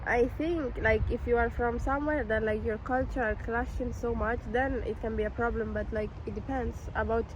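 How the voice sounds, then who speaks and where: A woman's voice: fairly high pitch, steady tone, normal speed, hardly any pauses, over a noisy crowd, low-quality recording. A young woman from Italy chatting casually about relationships, explaining things with plenty of qualifications. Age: young adult. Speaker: vlogger's girlfriend, co-host.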